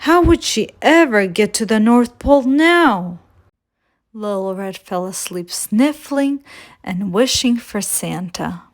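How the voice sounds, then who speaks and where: A woman hosts a storytime, reading a picture book aloud for children. She takes her time with very slow phrasing and an expressive delivery. Her voice sits at an average pitch. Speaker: children's storytime reader and host.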